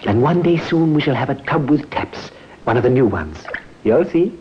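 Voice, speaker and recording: Man acting smooth, scheming husband, very animated delivery. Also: medium pitch, normal speed, natural voice. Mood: solicitous. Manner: theatrical, solicitous. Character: smooth-talking, scheming husband feigning tender devotion. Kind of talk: old-time radio mystery acting. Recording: dull, noisy old radio recording.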